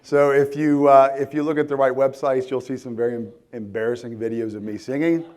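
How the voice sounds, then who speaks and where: A hoarse man in his forties speaks to a room at a conference. His voice is tired and worn out. He talks loosely, with frequent ums, uhs and restarts. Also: medium pitch, fairly even intonation, a little fast, a little mellow. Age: 40s. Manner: casual, many ums and restarts. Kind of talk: live conference talk. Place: conference hall.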